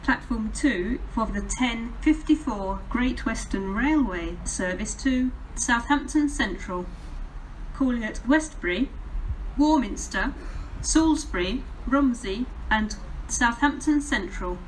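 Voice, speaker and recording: A woman's voice: on the high side, animated. Distant English woman announcer over station PA. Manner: formal, measured, pieced-together delivery from recorded phrases. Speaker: automated railway station announcer. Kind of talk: scripted public address announcements. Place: railway station platform, heard over public address. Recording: distant station PA speakers, clear background noise.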